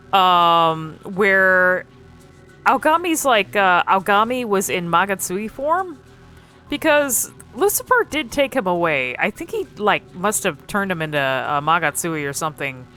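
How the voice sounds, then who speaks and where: A woman's voice: medium pitch, big pitch swings, measured pace, sharp tone. A woman giving casual, unscripted commentary on game cutscenes, musing hesitantly and often trailing off mid-thought. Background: music.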